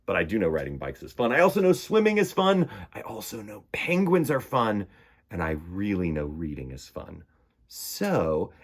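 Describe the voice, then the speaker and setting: A man hosts a storytime for young children. His mid-pitched voice moves widely up and down as he reads and chats. His delivery is expressive and conversational, with simple phrasing meant for small listeners. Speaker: host of a children's storytime show. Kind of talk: children's picture book reading and chat.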